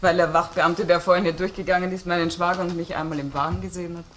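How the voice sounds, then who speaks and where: A woman's voice: lowish voice, steady tone, fast talker, a little hiss or hum, lightly acted. A woman playing a well-bred lady under police questioning in a crime drama, formal and defensive. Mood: defensive, indignant. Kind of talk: crime radio play acting.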